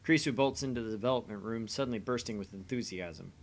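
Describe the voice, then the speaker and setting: A man's voice: medium pitch, quick pace. A man reading scripted visual novel narration aloud as the host of a gaming channel.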